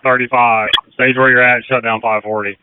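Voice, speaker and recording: A narrow, slightly noisy two-way radio carries a man's mid-pitched voice. He is an incident commander, giving directions in a matter-of-fact, directive way. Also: flat delivery, moderate pace. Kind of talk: emergency radio traffic.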